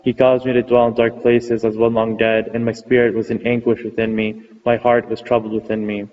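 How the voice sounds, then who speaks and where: A man reads psalms in an unhurried liturgical style, holding nearly one pitch throughout. The recording is muffled and dull. His voice trails off into a long church reverb. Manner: steady monotone chant-like reading. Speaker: reader of psalms.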